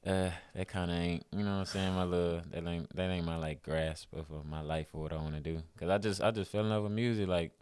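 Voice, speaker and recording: Houston man, 20s, interview guest, relaxed rambling delivery, deep and smooth. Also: fast talker, hardly any pauses, no echo. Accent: Houston, Texas. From Houston, Texas. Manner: laid-back, rambling, lots of fillers. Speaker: guest musician. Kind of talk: casual interview chat.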